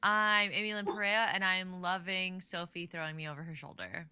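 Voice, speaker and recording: A woman's voice: fairly low pitch, fairly even intonation, normal speed, pushed and bright. A young woman chatting on a live stream, giggling and protesting in exclamations. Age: young adult. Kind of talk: casual stream chat.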